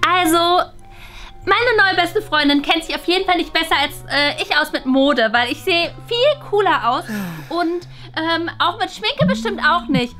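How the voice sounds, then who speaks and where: An extremely high, bright schoolgirl voice. The girl is a vain, whiny fashion diva, dramatic and exaggerated, in children's role-play comedy. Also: animated, normal speed, soft background music, heavily acted. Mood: indignant.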